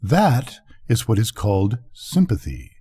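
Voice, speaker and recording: Storybook firework rocket, played by an older man: self-important, easily offended, theatrical, husky, highly animated. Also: unhurried, full character voice. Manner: pompous, indignant, declamatory. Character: vain, pompous, self-pitying male firework rocket.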